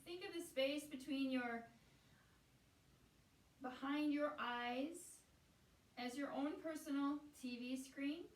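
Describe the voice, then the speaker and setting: A woman guiding a meditation as a teacher, speaking in a soothing, unhurried way with pauses between phrases. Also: high voice. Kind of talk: guided meditation. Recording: roomy echo, distant from the mic.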